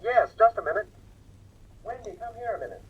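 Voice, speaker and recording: An actor playing a cool, formal young son calling by videophone in a 1950s radio drama. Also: male voice, sits high, lively intonation, a little fast, breathy edge, heavily acted. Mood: cool, brisk. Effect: telephone-like videophone filter. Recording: thin, tinny phone-like line.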